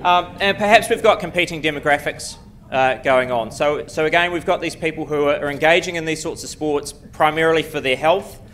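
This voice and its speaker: A middle-aged man with a New Zealand accent, giving a quick, self-deprecating conference lecture full of ums and restarts, speaking into a lectern mic in a lecture room. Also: on the high side, a bit bright, a little hiss or hum. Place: lecture room before a seated audience. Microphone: podium mic at a lectern.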